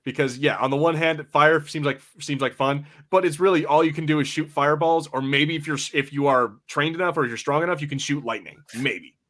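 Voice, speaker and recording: A man's voice: fairly high pitch, a little fast, few pauses, a bit bright. A man hosting a casual fan podcast, talking informally and swearing freely. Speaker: podcast host. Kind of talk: casual fan panel chat.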